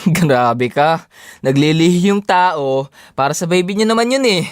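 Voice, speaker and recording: A man's voice: on the high side, expressive, a little slow, smooth. A man voice-acting a teasing, easygoing young buddy in a Filipino audio drama.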